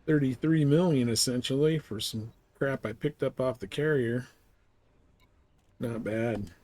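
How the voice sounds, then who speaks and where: A man's voice: mid-range voice, measured pace, darkish tone. A man giving casual, offhand live commentary as he plays a game, often muttering to himself. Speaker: game streamer.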